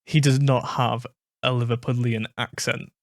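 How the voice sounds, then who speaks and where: A man's voice: medium pitch, level tone, moderate pace, creaky, no echo, clean studio sound. A British man hosting a reaction video, chatting casually and thinking aloud with fillers and restarts.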